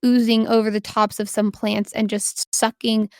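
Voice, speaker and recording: A woman's voice: a little high, flat delivery, normal speed, darkish tone, dry recording, studio quality. A woman speaking casually and encouragingly as game master, narrating a tabletop game.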